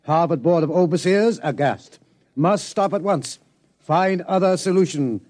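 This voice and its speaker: An actor playing an earnest, formal astronomer with precise, proper diction, in old-time radio drama style. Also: male voice, a little high, unhurried, darkish tone. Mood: formal, grave. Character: earnest, formal astronomer, anxious scientific gentleman.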